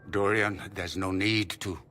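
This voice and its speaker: A man's voice: low voice, steady tone, unhurried, pushed and bright, faint music behind. An actor playing a stern, weary older nobleman father in a video game, speaking formally and with restraint, with a pleading edge. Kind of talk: video game cutscene acting.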